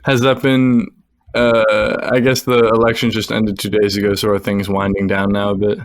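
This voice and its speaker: A man's voice: on the low side, flat delivery, normal speed. A man from the United States hosting a history podcast, asking a guest questions with curiosity and frequent laughter, over a call. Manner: curious, friendly, laughs often. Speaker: podcast host. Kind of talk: interviewing a guest.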